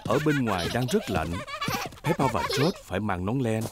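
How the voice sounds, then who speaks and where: A man narrating a children's cartoon from a script in an expressive storytelling way. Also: on the low side, normal speed, background noise. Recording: music behind the voice.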